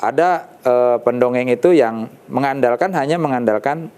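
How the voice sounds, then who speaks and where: A man appearing as a storytelling guest on a studio talk show, explaining his craft in a measured way and listing his points step by step. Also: medium pitch, a little mellow, smooth. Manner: measured, explanatory, with frequent restarts and lists. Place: television studio.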